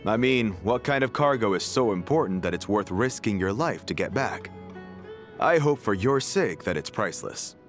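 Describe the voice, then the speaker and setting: A man playing an affable but wary desert guard with a sly streak in a video game, expressive and probing. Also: lowish voice, moderate pace, natural voice. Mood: probing, intrigued. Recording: music under the voice.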